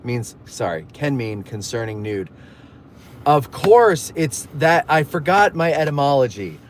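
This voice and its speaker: A man's voice: medium pitch, sing-song, unhurried, slight background noise. A man hosting a casual live stream, rambling through stories and jokey asides while reading viewer messages. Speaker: livestream host. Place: in a moving car.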